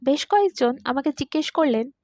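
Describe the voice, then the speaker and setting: A woman reading a literary short story aloud in an expressive, dramatised narrating style. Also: sits high, brisk, dry recording, clean studio sound. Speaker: story reader.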